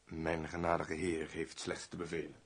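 An actor playing a wordy, servile older steward of a noble house in a radio play, with a husky voice. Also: male voice, rumbling low, completely flat delivery, normal speed, noisy background. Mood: confiding, fawning. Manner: wordy, long-winded, obsequious.

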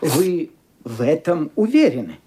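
An actor playing a fussy, courteous family lawyer in a radio play, speaking in a formal, halting way. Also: male voice, a little high, sing-song, dark tone, breathy edge, heavily acted. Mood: inquisitive. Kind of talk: theatrical radio play acting.

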